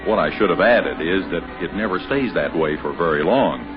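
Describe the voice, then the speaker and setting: An American actor serving as a wry, conversational announcer-narrator in a scripted 1950s radio sitcom. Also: male voice, deep voice, animated, normal speed, over music. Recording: muffled vintage radio recording.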